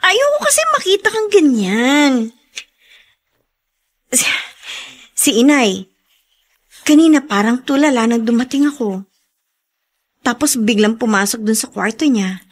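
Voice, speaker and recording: Worried wife in a radio drama, highish voice, coaxing, fusses over people. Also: female voice, very animated, unhurried, pauses often, full character voice. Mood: concerned, apologetic. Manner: concerned, coaxing. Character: caring, anxious young wife, fussing and probing.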